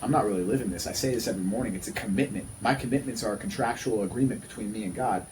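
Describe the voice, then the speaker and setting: A man in his thirties with a fairly low voice chats as a podcast host. He is earnest and emphatic, circling back over his phrases. There is faint background noise in the recording. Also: very fast. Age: 30s. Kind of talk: casual conversational podcast talk.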